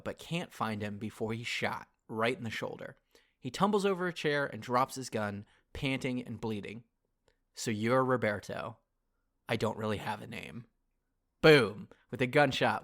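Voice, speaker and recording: Male podcast co-host, mid-pitched voice. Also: fairly bright tone. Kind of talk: casual chat.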